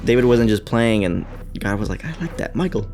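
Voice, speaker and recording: A man's voice: fairly low pitch, fairly quick, creaky, over loud music. A man in his twenties from Colorado, a podcast guest chatting casually with some rambling and laughs. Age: 20s. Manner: relaxed, rambling, laughs often. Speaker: podcast guest, church graphic designer.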